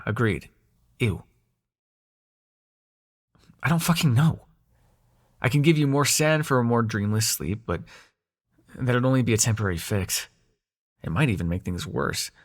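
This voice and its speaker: A man's voice: medium pitch, close to an everyday voice. A man acting a protective young dream god in an intimate audio roleplay, sweary and sarcastic yet tender, speaking conversationally and hesitantly to the listener.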